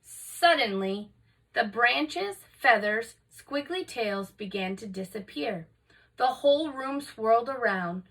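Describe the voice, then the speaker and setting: A woman reads a children's storybook aloud with expressive narration. Her voice is high and bright with a noticeable creak, and she sits slightly away from the microphone. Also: slow pace, distant mic, low-quality recording. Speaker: storybook reader for remote learning.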